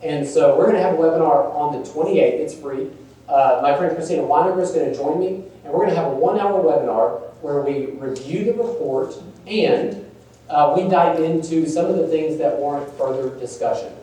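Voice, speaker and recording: A man's voice: on the high side, fairly quick, warm tone. Man presenting in an echoey hall. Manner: casual, conversational, many fillers. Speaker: conference presenter. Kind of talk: presenting a workshop session. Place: large echoey conference room. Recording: echoey room, distant mic.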